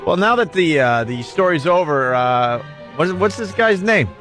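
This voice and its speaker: A man's voice: fairly high pitch, animated, moderate pace, a bit bright, faint music behind. An American man hosting a talk radio show with casual comic banter, close on a studio microphone.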